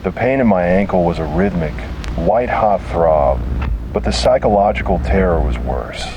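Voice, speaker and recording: A man delivering scripted first-person horror narration in a grave, dramatic manner with deliberate pauses. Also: low voice, expressive, background noise.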